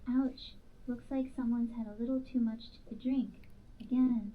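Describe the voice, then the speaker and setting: A woman's voice: a little high, steady tone, normal speed, close to an everyday voice. A synthetic woman's voice playing a flirty, sassy female AI chat companion with cheeky, playful banter. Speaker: AI companion app voice. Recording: tinny phone-like speaker playback.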